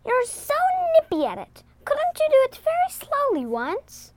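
Woman voicing an eager, very high-pitched schoolboy. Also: huge pitch swings, a little slow, heavy background noise, exaggerated voice. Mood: excited wonder. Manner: exclamatory, posh schoolboy slang. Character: eager, practical young boy, excitable and bossy.

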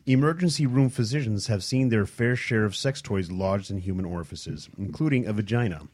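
A man's voice: on the low side, normal speed, darkish tone. A man co-hosting a crude chat show, reading a news story aloud and joking.

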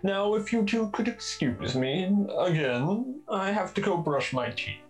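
A woman's voice: mid-range voice, sing-song, measured pace, faint music behind. A woman playing a polite, prim male household servant in a comedic radio play, courteous and formal. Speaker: student comedy performer.

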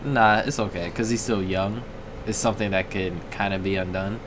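A young man co-hosting a casual podcast chat, laid-back and deadpan, swearing freely. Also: deep voice, moderate pace. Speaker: podcast co-host. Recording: noisy room background.

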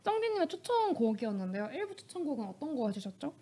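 Animated young woman hosting a chat. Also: sits high, fast talker. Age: young adult. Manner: animated, giggly, polite. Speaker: radio show host. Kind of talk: casual friendly interview chat with scripted segues.

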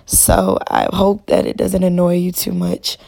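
A young woman hosting a solo podcast, her voice raspy and worn, talking in a casual, chatty, encouraging way. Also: fairly low pitch, wildly swooping pitch, unhurried, mellow, low-quality recording. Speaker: podcast host.

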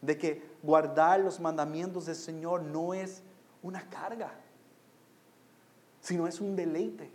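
Male preacher, high-voiced, native English speaker. Oratorical sermon delivery, deliberate phrasing, shifts from hushed to forcefully proclaimed. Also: steady tone. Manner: oratorical, swinging from hushed lines to loud emphatic proclamation; deliberate phrasing with rhetorical questions. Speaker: pastor preaching to a congregation. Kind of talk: expository sermon.

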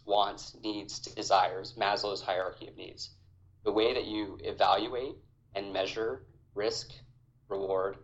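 A man in his thirties from Hawaii, an army veteran talking as a podcast guest in a measured, reflective way. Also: on the low side, muffled recording. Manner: reflective, measured, with fillers and restarts. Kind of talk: conversational interview.

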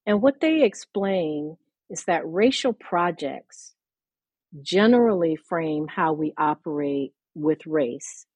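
A woman with a low, husky voice explains her ideas in a thoughtful, lecturing way, pausing and restarting as she goes. Her voice sounds close and dry. She speaks as an author and scholar on a podcast. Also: animated, a little mellow, no echo. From mid-Atlantic United States. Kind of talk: conversational podcast discussion.

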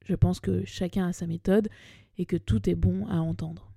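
A woman's voice: lowish voice, fairly even intonation, moderate pace, creaky, mellow, slightly rough, no room sound. A woman of about thirty with a Parisian French accent, hosting a personal podcast in a chatty, self-deprecating, colloquial way, close on the microphone. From Paris, France. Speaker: podcast host. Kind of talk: personal monologue podcast, semi-scripted narration.

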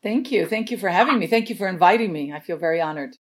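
A woman's voice: fairly low pitch, animated, fast talker. An older woman from Chile, a native Spanish speaker, telling anecdotes casually as an interview guest. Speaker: interview guest, veteran script supervisor.

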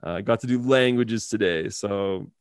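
A man in his early thirties hosts a relaxed podcast chat, talking in loose, rambling runs full of "like" and "uh". His voice sits low with a gravelly fry. The sound is dry and close, with no room around it. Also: a little slow, dry recording. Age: 30s. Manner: rambling, filler-heavy, laughs easily. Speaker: podcast host.

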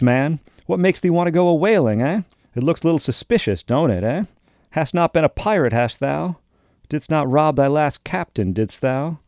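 A gruff old sea captain, put on by a male narrator, loud and raised in pitch over the reader's own voice, blustering and suspicious. He fires question after question in old-fashioned speech. The tone is dark and the sound is dry and close. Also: no echo, natural voice.

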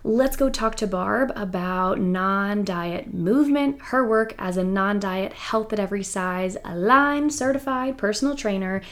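A young woman hosts a podcast in a relaxed, chatty way. She grew up in southern Virginia and laughs often as she talks. Her voice sits at an ordinary middle pitch for a woman. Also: measured pace, few pauses. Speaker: podcast host. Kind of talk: casual podcast chat.

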